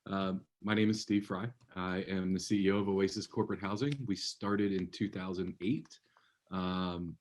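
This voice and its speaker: A man, a company CEO, introducing himself on a webinar panel in a relaxed way with filler ums. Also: low voice.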